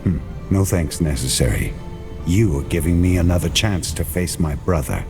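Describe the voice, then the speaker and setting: A man's voice: sits low, lively intonation, normal speed, creaky, music in the background. A man playing a grim, resolute warrior knight in a fantasy game, terse and solemn. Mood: grim resolve. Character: grim, resolute warrior knight hero set on his brother. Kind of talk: cinematic fantasy game acting.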